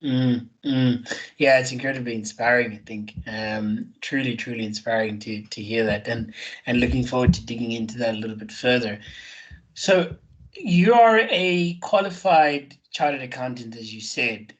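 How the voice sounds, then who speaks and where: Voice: mid-range voice, normal speed, low-quality recording. A podcast host who talks in an effusive way, full of fillers and repeated words. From Johannesburg, South Africa. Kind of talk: interview hosting.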